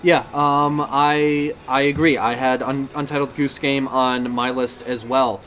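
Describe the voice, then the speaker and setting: A man's voice: medium pitch, level tone, a little slow, noisy background. A man hosting a podcast in casual gaming chat, talking in a rambling, self-correcting way. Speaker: podcast host.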